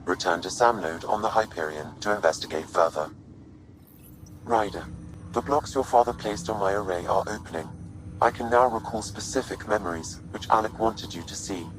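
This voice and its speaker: Sci-fi game AI, male actor: low register, composed, formal, exact and helpful. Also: slight background noise, close to an everyday voice, poor audio. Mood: measured, explanatory. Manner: measured, formal, precise. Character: calm, courteous sentient artificial intelligence aiding an explorer. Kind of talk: video game voice acting.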